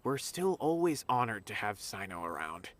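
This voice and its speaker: A man's voice: fairly low pitch, very animated, normal speed. An actor playing a friendly, dutiful young academy officer in a game, earnest and polite as he reports news. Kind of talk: game dialogue. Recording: game audio with loud music behind.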